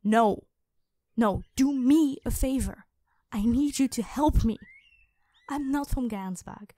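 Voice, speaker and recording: A woman playing a distressed but stubborn young woman in an audio roleplay, speaking with a pleading, halting manner. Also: a little high, warm tone, dry recording, clean studio sound. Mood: desperate, insistent. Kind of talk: cinematic audio roleplay acting.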